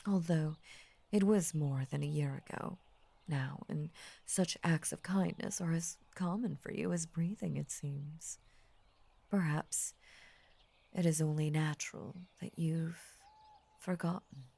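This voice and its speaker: A woman voicing an ancient, possessive lamia in intimate roleplay audio, tender and formal, with a breathy husky voice that often drops into a whisper. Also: sits low, heavily acted. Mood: wistful, obsessive. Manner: slow, tender, formal, hushed obsessive devotion. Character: ancient possessive lamia, lovestruck, devoted and murderous.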